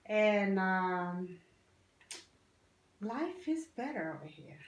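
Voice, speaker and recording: A woman's voice: medium pitch, big pitch swings, drawn-out words, roomy sound. Plain-spoken woman from El Salvador. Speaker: interviewee. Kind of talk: casual family interview.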